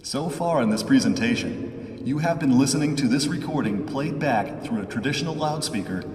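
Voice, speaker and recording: A man reading a scripted product demonstration in a measured, promotional way, heard as playback through a loudspeaker in a large church. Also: mid-range voice, recorded from a distance. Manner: measured, even, promotional delivery. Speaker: product demo narrator. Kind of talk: scripted corporate narration. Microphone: room microphones at a distance from a loudspeaker. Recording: played back through a loudspeaker in a reverberant church.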